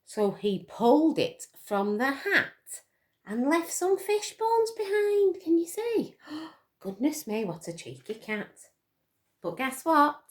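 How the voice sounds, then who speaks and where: Female storyteller for young children: very lively pitch, slow pace, expressive and inviting questions. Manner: expressive, dramatic gasps and questions to listeners. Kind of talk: animated picture-book storytelling to young children.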